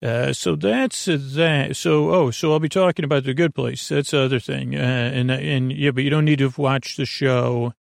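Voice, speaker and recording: Man, creaky gravelly voice, dry close sound, halting bedtime rambling, gently reassuring, sentences trailing off. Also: medium pitch, dry recording. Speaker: podcast host. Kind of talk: rambling bedtime sleep-podcast monologue.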